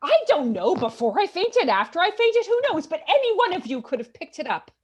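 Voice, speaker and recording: Shrill, flustered society lady. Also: very animated, a little fast. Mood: panicky. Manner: hysterical, emphatic. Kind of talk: live table read of comedy film script. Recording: slightly dull, lo-fi audio.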